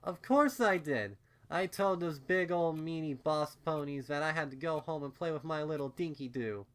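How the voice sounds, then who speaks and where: A man voicing a warm, doting pegasus mother in a storybook, cooing and soothing as to a small child. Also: fairly high pitch, normal speed, pitched above the performer's own voice, natural voice. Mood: playful, cooing. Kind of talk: storybook character voice.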